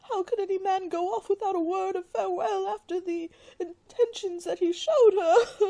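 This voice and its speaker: A woman's voice: very high-pitched, normal speed, mellow. An actress playing a melodramatic, self-pitying middle-aged mother in a radio play, lamenting theatrically and tearfully. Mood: wailing, tearful.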